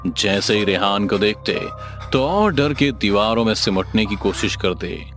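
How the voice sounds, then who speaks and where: A man's voice: on the low side, lively intonation, a little slow, fairly bright tone. A man telling a scripted horror story in a dramatic storytelling style over background music. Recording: music under the voice.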